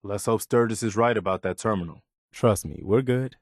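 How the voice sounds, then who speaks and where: An actor playing an earnest, loyal militia lieutenant in a video game, sincere, respectful and soldierly. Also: male voice, on the low side, normal speed, no echo, studio quality, lightly acted. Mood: urgent, focused. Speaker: companion character in a video game.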